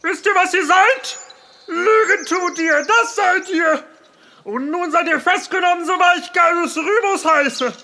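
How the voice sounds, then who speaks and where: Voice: very high-pitched, a little slow, pushed and bright, exaggerated voice. A performer voicing a pompous, menacing Roman soldier in a comedic audio sketch, declaiming grandly. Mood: pompous, threatening. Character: bombastic Roman soldier guarding a forest, menacing captor.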